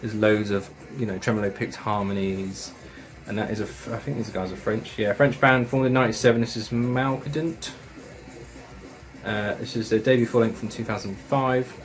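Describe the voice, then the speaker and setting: A man's voice: fairly low pitch, fairly even intonation, moderate pace, soft background music. A man casually reviewing metal records and tapes, enthusiastic and chatty, with slang and swearing.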